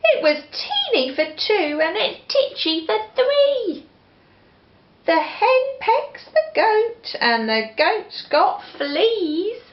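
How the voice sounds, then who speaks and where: A woman voicing an exasperated little old lady from a storybook, pleading dramatically in drawn-out wails. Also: extremely high pitch, big pitch swings, pitched above the performer's own voice, exaggerated voice. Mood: distressed. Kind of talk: storybook character voice. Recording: roomy, slightly muffled home recording.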